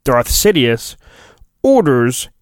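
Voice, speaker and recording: A man's voice: medium pitch, big pitch swings, clear, resonant tone, no room sound, studio quality. A man hosting a solo podcast, giving a mock-dramatic reading of a children's storybook with theatrical emphasis. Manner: theatrical, drawn-out emphasis, flippant asides.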